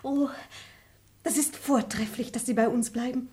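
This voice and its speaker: A woman's voice: on the high side, steady tone, moderate pace, dark tone, natural voice. A woman playing a pert eager young daughter. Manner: coaxing, pert. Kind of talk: radio play acting.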